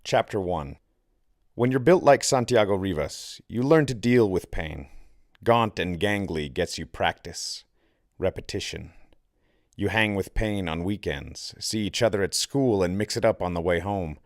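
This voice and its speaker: A man reading a novel aloud as scripted audiobook narration, in a measured, deliberate manner with dramatic pauses. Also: low-pitched, animated, no room sound, studio quality. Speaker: author reading own novel.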